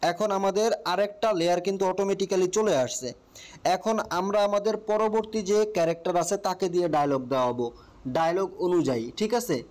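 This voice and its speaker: Casting: Bangladeshi male tutorial host, slightly high voice, casual and explanatory, guiding step by step and checking in often. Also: fast, darkish tone.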